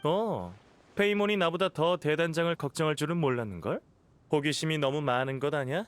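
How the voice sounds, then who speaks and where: A man voicing a suave, teasing young knight captain in a game, with breezy, unhurried delivery. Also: fairly high pitch, smooth, close to an everyday voice. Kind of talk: animated game dialogue acting.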